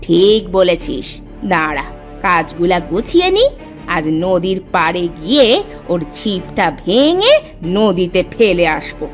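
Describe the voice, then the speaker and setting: A woman voicing a sharp-tongued, scolding village wife and mother in a cartoon, with a nagging, scornful manner. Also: lowish voice, very animated, unhurried, faint music behind. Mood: irritated, threatening. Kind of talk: exaggerated Bengali cartoon voice acting.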